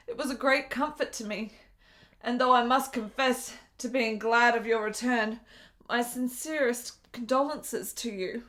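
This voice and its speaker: A high female voice as a frail, lonely invalid in a period radio play. She speaks delicately and formally, with an intense yearning and a wry edge. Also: fairly bright tone. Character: frail, lonely, ailing woman of 1870s Italy, yearning, wry. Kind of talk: dramatic radio play dialogue.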